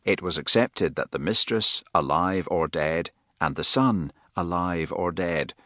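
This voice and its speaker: A man reading an audiobook with measured, expressive narration, recorded close on a studio mic. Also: deep voice, no room sound. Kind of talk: scripted narration.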